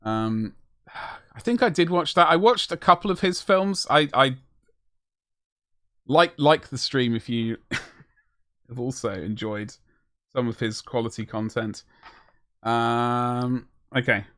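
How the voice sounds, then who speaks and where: A man's voice: mid-range voice, slow, long pauses, fairly bright tone, no echo, clean studio sound. A man chatting casually on a live stream as he paints miniatures, rambling and self-deprecating as he answers his chat.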